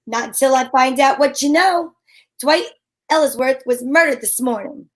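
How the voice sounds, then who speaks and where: A woman's voice: high-pitched, moderate pace, natural voice. A woman playing a wry, self-assured private detective who narrates her case in an amateur old-time radio mystery. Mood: brisk, probing. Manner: wry, sassy, slangy. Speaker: lead actress and narrator.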